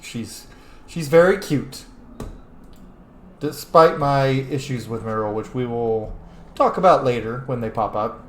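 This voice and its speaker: A man's voice: medium pitch, measured pace, long pauses, roomy sound, slight background noise. A man giving casual, sweary let's play commentary as a gaming host, informal and full of wisecracks. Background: game music.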